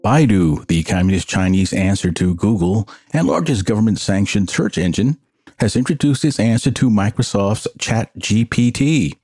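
A man with a lowish voice presents scripted tech news as a solo podcast host. His delivery is dry and wry, and the sound is close and dry, with little room on it. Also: a little mellow, husky, no echo.

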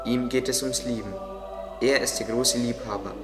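A man's voice: mid-range voice, fairly even intonation, moderate pace, natural voice. A man playing a kindly, devout gardener who explains things earnestly in an audio drama. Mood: devout, teaching. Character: devout, kindly male gardener, patient teacher. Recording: echoey, long reverb, under music. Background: music.

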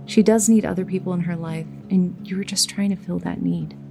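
A woman playing a calm community leader in an audio drama, gentle, measured and reassuring, with a creaky voice. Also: fairly low pitch. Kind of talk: naturalistic audio drama acting. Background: plucked guitar music.

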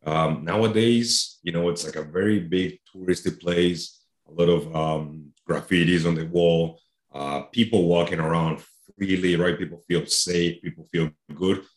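A man's voice: sits low, fairly even intonation, measured pace, roomy sound. A man from Colombia with a Colombian accent, a youth soccer trainer chatting as a guest, loose and full of "you know". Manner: conversational, many fillers like "you know". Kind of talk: casual interview chat.

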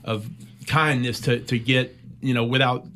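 A middle-aged American man, a military veteran, recounting a personal war story in an interview with measured, reflective pacing and frequent pauses. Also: medium pitch, fairly even intonation, a bit bright. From United States.

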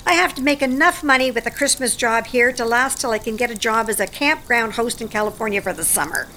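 A woman's voice: on the high side, fairly quick, sharp tone, slight background noise. An actress playing a proud, weary older American working woman in an audio drama, defensive and sardonic, with blunt folksy talk. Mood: resigned, uneasy.

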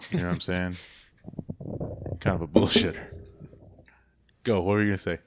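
A man's voice: low-pitched, fairly even intonation, moderate pace, background noise. A man hosting a podcast who leads a casual chat with joking, teasing banter. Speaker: podcast host.

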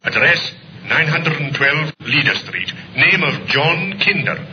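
An actor playing a police sergeant reporting by telephone in a radio drama, deferential and clipped, on an old recording. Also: male voice, on the high side, big pitch swings, slow, lo-fi sound, noisy background. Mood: businesslike. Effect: distant telephone voice. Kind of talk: 1950s radio drama acting.

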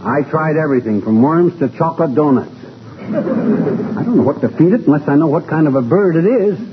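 A man playing a blustery, scheming, folksy husband in old-time radio comedy, with excitable, slangy talk. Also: on the high side, a little fast, close to an everyday voice. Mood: exasperated, determined. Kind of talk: 1940s-50s radio comedy acting. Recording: muffled, noisy old radio recording.

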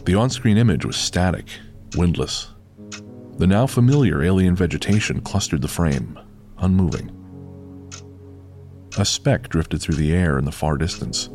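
Very low-voiced male horror narrator. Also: expressive, normal speed, long pauses, a little mellow, faint music behind. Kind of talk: scripted horror audio drama narration.

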